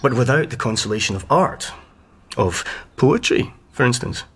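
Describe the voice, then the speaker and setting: Radio drama role: cultured young schemer, measured and insinuating, husky mid-range voice. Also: male voice, medium pitch, animated, fairly bright tone. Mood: guarded, courteous. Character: smooth, insinuating young gentleman tutor and schemer.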